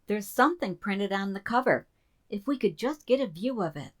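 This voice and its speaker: A woman's voice: medium pitch, lively intonation, normal speed, lightly acted. A woman playing a curious, practical colleague in a radio drama, inquisitive and conversational. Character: curious, practical female colleague wondering aloud. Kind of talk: radio theater acting.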